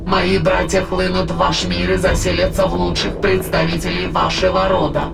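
A creaking, gravel-throated spirit from another world lays out its ambitions in an audio drama. It is formal and measured, grandiose and coldly persuasive. Music plays clearly underneath. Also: mid-range voice, little pitch movement. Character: megalomaniac otherworldly spirit plotting to conquer humanity. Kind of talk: audio drama acting, long villainous monologue. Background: dramatic background music.

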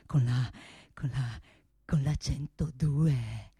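Slow, obsessive gun-dynasty man. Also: rumbling low, warm tone, husky, no room sound, clean studio sound, heavily acted. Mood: insistent, proud. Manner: halting, repetitive, fervent. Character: proud, obsessive male heir of gun-making dynasty. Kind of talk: theatrical radio play monologue.

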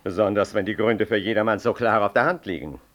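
An actor playing a pompous, easily offended middle-aged professor in a radio play, haughty and condescending. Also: male voice, lowish voice, fast talker, fairly bright tone, natural voice. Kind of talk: 1960s German-language radio play acting.

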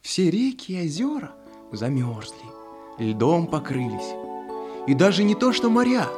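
A man tells a fairy tale to small children in a theatrical manner. His voice is high for a man and very animated in melody. Music is clearly present behind him. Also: a little slow. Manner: theatrical, addressing small listeners. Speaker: storyteller.

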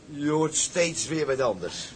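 Gretige scheepskok met hoge stem. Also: male voice, fairly high pitch, expressive, unhurried, slight background noise. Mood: puzzled. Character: eager, curious male ship's cook and deckhand. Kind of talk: Dutch radio drama acting.

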